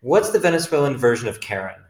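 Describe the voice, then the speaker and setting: A man's voice: mid-range voice, very animated, fast, reverberant. A man chatting casually as a co-host, telling a story in a playful, joking way. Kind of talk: casual chat.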